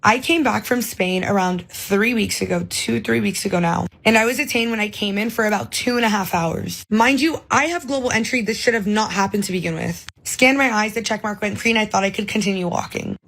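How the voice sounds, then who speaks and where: A woman's voice: mid-range voice, a little fast, gravelly, few pauses, fairly bright tone, poor audio. A woman from the United States recounting in indignant detail how she was detained at the border. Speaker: traveler recounting detention. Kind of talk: first-person account to camera.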